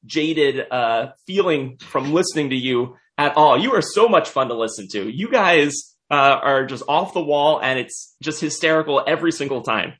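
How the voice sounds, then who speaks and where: A hoarse young man hosting a podcast, speaking casually and hesitantly. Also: a little high, expressive, moderate pace, few pauses, fairly bright tone. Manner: hesitant, lots of uh fillers. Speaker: podcast host.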